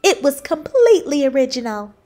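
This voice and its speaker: A woman voicing a kindly, encouraging female biscotti schoolteacher in a children's storybook, announcing things clearly. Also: high voice, big pitch swings, brisk, mellow, pitched above the performer's own voice. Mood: beaming, proud. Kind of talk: children's storybook read-aloud.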